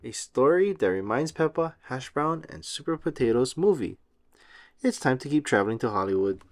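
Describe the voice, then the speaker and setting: Animated man reading a children's storybook. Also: mid-range voice, normal speed. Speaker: read-aloud host. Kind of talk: children's picture book read-aloud, scripted narration.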